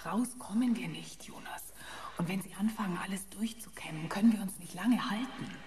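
An actress playing an earnest, sharp-witted programmer on the run in a radio drama. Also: female voice, medium pitch, normal speed, a crowd in the background, natural voice. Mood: urgent, hushed.

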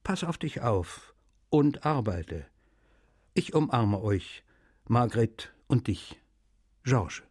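Writer, measured literary reading, medium pitch, dry studio, radio feature. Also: male voice, sing-song, a little mellow, slightly rough, dry recording, exaggerated voice. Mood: friendly, content. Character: French male writer reading his friendly letters aloud.

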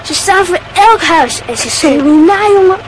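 A woman's voice: very high voice, expressive, moderate pace, heavy background noise. A woman playing a brash, cheeky schoolboy in a radio play, talking crudely and boastfully. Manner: cocky, crude, laughing.

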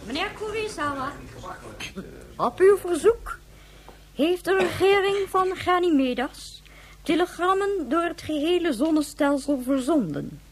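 A woman's voice: very high-pitched, animated, measured pace, poor audio. An actress playing a stern, sceptical female government leader in a Dutch radio drama, speaking formally and with authority.

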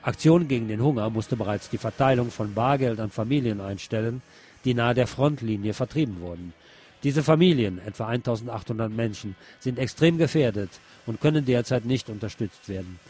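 Husky man reading scripted news. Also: medium pitch, a little fast, darkish tone. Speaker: news presenter. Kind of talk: scripted news narration with commentary.

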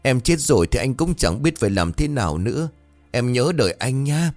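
A man's voice: medium pitch, sing-song, moderate pace, darkish tone, no echo, soft background music, voice pushed up, lightly acted. A man voicing a half-mad, drunk young man rambling lovesick to his dead bride. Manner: rambling, slurred pleading.

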